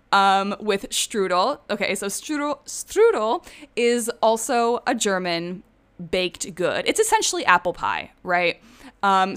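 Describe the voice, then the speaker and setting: A woman in her thirties from the Czech Republic with a clear, smooth voice at a middling pitch. She talks like a casual vlogger, rambling candidly through personal stories and swearing now and then. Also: fairly bright tone. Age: 30s. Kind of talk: casual personal storytelling to viewers.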